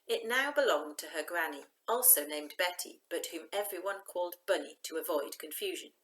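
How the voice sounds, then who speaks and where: A woman reading a children's novel aloud in an expressive, emphatic storytelling style. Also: lowish voice, a little fast.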